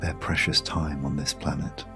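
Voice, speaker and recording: A British man narrating a guided sleep meditation story in a hushed, soothing, measured way with long pauses. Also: extremely low pitch, level tone, gravelly. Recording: music behind the voice. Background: music.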